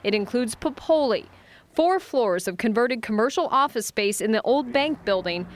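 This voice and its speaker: Higher-pitched American woman narrating TV news. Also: expressive, normal speed, a little hiss or hum. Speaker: TV news reporter. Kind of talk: scripted news package narration.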